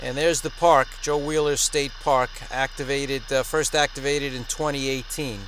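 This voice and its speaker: A man's voice: medium pitch, fairly even intonation, normal speed, a bit bright. A man who hosts an amateur radio channel, talking casually as he narrates and makes radio contacts. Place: small indoor radio desk at home. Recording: noisy room with radio static.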